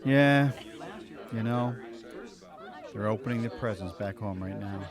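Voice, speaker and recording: A man playing an awkward, homesick young accountant in a live stage radio play, animated and stammering. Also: lowish voice, measured pace, a crowd in the background. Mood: wistful, candid. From New York. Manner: animated, stammering, forced cheer slipping into candor. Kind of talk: live stage audio drama before an audience. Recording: live stage show, music, audible audience. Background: music and audience.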